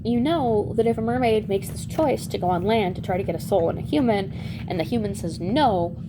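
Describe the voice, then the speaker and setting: A blunt sea witch from a fairy tale, acted out by a woman storyteller. She lays out terms in a casual, businesslike way at a medium pitch. The recording has clear background noise. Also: expressive, brisk, natural voice. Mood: warning, blunt. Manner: matter-of-fact, casual bargaining.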